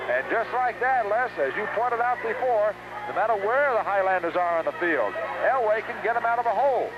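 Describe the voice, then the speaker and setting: High-pitched man calling football on noisy old radio. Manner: excitable, urgent calls on big plays. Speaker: play-by-play football announcer. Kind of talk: live radio play-by-play commentary. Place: outdoor stadium, game broadcast. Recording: noisy muffled 1970s radio broadcast.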